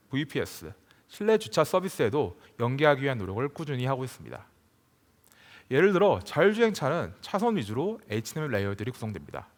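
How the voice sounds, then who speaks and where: A man giving a formal, prepared technical presentation as a conference speaker in a large hall. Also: medium pitch. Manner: formal, scripted delivery. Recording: long hall reverb from PA.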